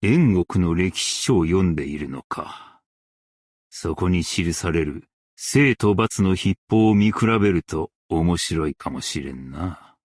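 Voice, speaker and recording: A dignified, stern master craftsman and warrior from a game. His voice is very low and he speaks slowly in formal, archaic phrasing, each line weighty and measured. The sound is close and dry. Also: expressive, darkish tone, no room sound, full character voice. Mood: grave, measured. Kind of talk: Japanese game character voice lines.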